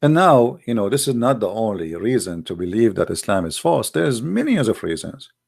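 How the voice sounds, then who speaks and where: A man's voice: on the low side, big pitch swings, normal speed, gravelly, darkish tone, husky. A man giving an argumentative religious monologue, full of rhetorical questions, in slightly broken English. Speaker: religious debater. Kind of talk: polemical monologue.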